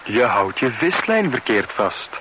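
An actor playing a smoothly persuasive tall suitor in a radio drama, speaking in a casual, coaxing way with small hesitations. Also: male voice, mid-range voice, very animated, unhurried, pushed and bright, a little hiss or hum, rough recording. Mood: flirtatious, tender. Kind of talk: Dutch-language radio drama acting. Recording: old radio play recording.

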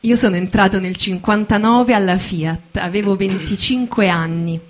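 A woman's voice: medium pitch, moderate pace, lightly acted. A woman giving a theatrical reading of a male former car factory worker recalling his youth in the first person. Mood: reminiscing.

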